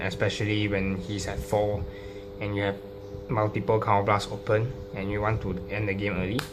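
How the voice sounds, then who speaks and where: A man informally explaining a card deck, halting and hesitant, often repeating his phrases. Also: fairly low pitch. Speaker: trading card deck profile presenter. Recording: clearly noisy recording with hum.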